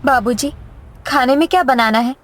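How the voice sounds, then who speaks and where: Woman acting a high-pitched, plaintive family woman. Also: moderate pace. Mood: gentle, caring. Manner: plaintive, melodramatic. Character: anxious, caring woman of a poor homeless family. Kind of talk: moral story acting.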